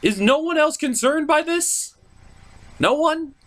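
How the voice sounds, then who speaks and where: A man's voice: very high-pitched, expressive, takes it slowly, a bit bright. A man giving scripted, comedic review commentary with theatrical, mock-incredulous emphasis.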